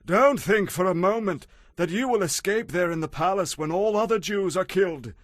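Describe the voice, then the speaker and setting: An actor playing a stern, urgent guardian in a dramatized audio Bible, exhorting with earnest passion. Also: male voice, sits high, normal speed, dry recording, lightly acted. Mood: urgent warning.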